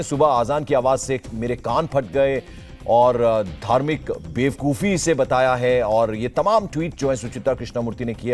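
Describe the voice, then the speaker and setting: A man's voice: medium pitch, a little mellow. A man presenting and interviewing as a television news anchor, speaking insistently and repeating himself. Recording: music behind the voice.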